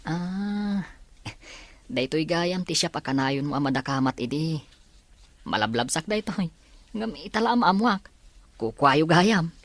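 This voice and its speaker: Woman playing a low-voiced, kind young male student. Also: lively intonation, a little slow, pauses often, dry recording. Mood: friendly. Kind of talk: Ilocano radio drama acting. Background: faint background music.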